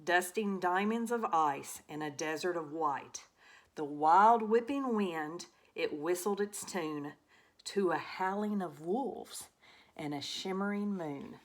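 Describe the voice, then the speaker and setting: A woman reading a rhyming children's storybook aloud as an expressive narrator. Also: fairly low pitch, takes it slowly. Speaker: storybook reader.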